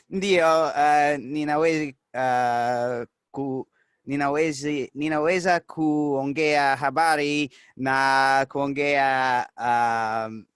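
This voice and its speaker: A man chatting casually on a video call in a hesitant, measured, halting manner. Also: medium pitch, steady tone, a bit bright, clean tone, no room sound, studio quality. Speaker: session participant.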